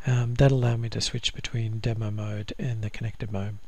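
A man walking through a software install in a casual screen-recorded tutorial, with frequent ums and restarts. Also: lowish voice, little pitch movement, moderate pace, dry recording. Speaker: software trainer.

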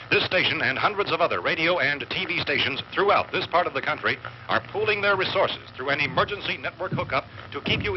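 An actor playing a grave, urgent male radio newsreader reading a formal emergency bulletin in a measured, authoritative way. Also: a little high, background noise, close to an everyday voice. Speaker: radio news announcer. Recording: muffled old radio broadcast, loud background music.

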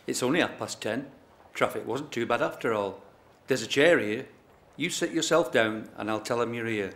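A man's voice: medium pitch, moderate pace, fairly bright tone, close to an everyday voice. A man playing a helpful, chatty escort reassuring a patient in a naturalistic audio drama. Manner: reassuring, familiar. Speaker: actor in an amateur audio drama.

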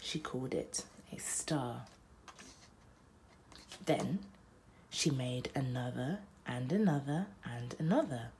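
A young woman narrating a children's bedtime picture book aloud, with deliberate, dramatic phrasing. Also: extremely low pitch, darkish tone, a little hiss or hum.

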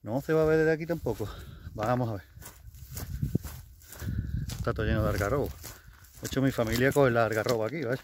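Rambling Spaniard on a country walk. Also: male voice, medium pitch, fairly quick, long pauses, noisy background. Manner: chatty, rambling, self-correcting, mild swearing. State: walking downhill over stones. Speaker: outdoor vlogger. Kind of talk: casual walking vlog. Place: outdoors in rural fields. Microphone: handheld camera on selfie stick.

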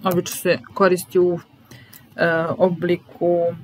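A woman, teaching vocabulary in a deliberate, repetitive, instructional way. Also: on the low side, little pitch movement, darkish tone, soft background music, poor audio. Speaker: language teacher. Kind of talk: vocabulary lesson, word-by-word dictation with repeated phrases. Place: small room indoors. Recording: background noise with keyboard typing.

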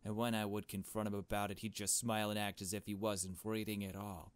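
A man's voice: on the low side, level tone, brisk, clean tone, lightly acted. A man plays a blunt young woman from a visual novel. She is distrustful and emphatic, pressing her accusations as a warning to a friend. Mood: insistent.

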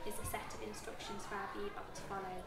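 A woman's voice: mid-range voice, flat delivery, fast, creaky, loud music behind the voice, a loud crowd. A British woman, a primary school teacher, explains things step by step to young children with plenty of questions. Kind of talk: interactive classroom lesson with young children. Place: indoor classroom with wooden floor. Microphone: camera a short distance away. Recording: echoey classroom, picked up at a distance.

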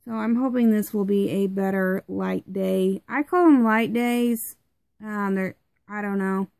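A woman's voice: medium pitch, unhurried, gravelly, a little mellow, dry recording, clean studio sound. A young woman reviewing a product at home, chatty and rambling with lots of fillers, her voice stuffed up from congestion.

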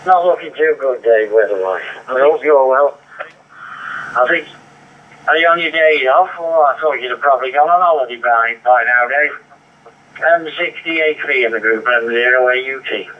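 A man talks casually over amateur radio at an ordinary pitch. His voice comes through a digital two-way radio link, with dull, lo-fi speaker sound. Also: unhurried, pauses often. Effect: digital two-way radio transmission. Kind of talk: casual ham radio conversation with call signs.

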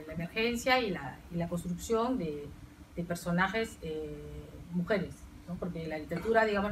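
A middle-aged woman speaking on a university panel, reflective and searching for words. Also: lowish voice. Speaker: panel guest writer. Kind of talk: panel discussion. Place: university lecture room, seated at panel table. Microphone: table stand mic heard from far camera. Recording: distant, faint hiss.

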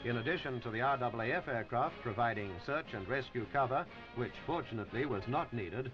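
An old, dull film soundtrack carries a man narrating a newsreel over prominent music. He speaks as a formal 1950s announcer, measured and scripted, in a mid-pitched voice.

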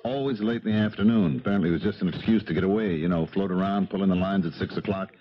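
An actor in a 1970s radio drama playing a businesslike police lieutenant with a clipped, matter-of-fact manner. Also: male voice, low voice, fast talker, natural voice. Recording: muffled 1970s radio recording.

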